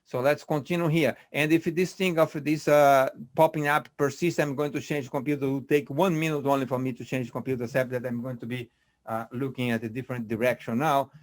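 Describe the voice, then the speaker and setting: A man moderating an online biochemistry quiz on a video call, speaking in a measured way with frequent fillers. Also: medium pitch, fairly even intonation.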